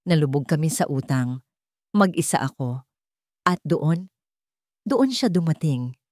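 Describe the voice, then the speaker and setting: Woman narrator acting betrayed young woman, Filipino accent, fragile, grieving, halting confession, audio drama story, dry sound. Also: low-pitched, expressive, darkish tone, no echo.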